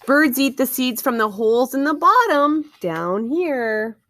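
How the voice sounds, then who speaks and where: A woman's voice: high-pitched, lively intonation, unhurried, fairly bright tone, a little hiss or hum. A woman reading aloud to young children like a teacher, expressively, with questions and wondering asides to her listeners.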